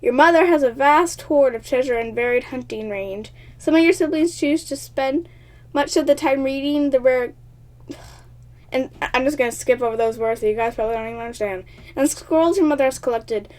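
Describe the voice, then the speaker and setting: Brief: high-voiced woman reading a game aloud, chatty and excitable, stumbles over words, laughs at herself. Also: fairly quick. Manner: laughs often, talks at herself. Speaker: gaming channel host.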